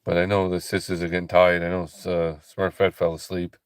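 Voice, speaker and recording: A tired man hosting a late-night ministry livestream, talking slowly and softly with a calm, relaxing voice, with many pauses and restarts. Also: sits low, smooth, no room sound. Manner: slow, halting, soft-spoken, many fillers and restarts. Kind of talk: casual late-night livestream chat with viewers.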